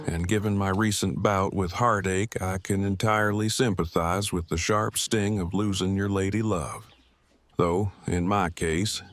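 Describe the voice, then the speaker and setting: An actor playing a wordy, earnest survivor in an audio drama, with stilted, formal and deliberate diction. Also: male voice, low-pitched. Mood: sympathetic. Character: verbose, formal, earnest man in love, post-apocalyptic survivor.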